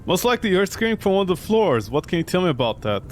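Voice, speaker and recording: A man voicing a steady, inquisitive young private detective in a game. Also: sits high, racing, soft background music.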